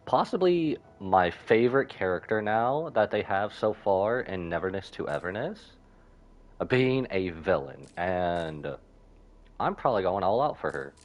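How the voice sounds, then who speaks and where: A man doing casual live-stream game commentary, rambling and thinking aloud as he goes. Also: low voice.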